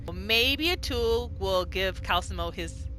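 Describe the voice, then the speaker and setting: A woman hosting a roleplay gaming video, giving casual, expressive commentary as she plays. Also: medium pitch, measured pace. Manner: expressive, reactive. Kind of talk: casual let's play commentary mixed with in-character roleplay. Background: game music.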